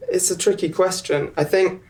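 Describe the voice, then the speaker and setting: Chatty young man, halting with ums. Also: high voice, steady tone, darkish tone. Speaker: filmmaker guest. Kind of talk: live panel conversation. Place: live event on stage.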